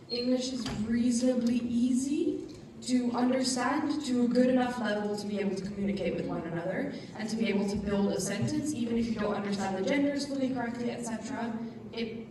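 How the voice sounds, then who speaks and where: A woman student explaining something in a casual way. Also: fairly high pitch. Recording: distant, echoey room with noise.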